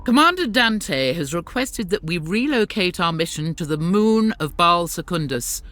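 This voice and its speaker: A devout warrior nun commander narrates a game cutscene in a bright, forceful voice. She is solemn and formal, with unbending zeal in her delivery. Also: fairly low pitch, animated, normal speed, no room sound. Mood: solemn, resolute.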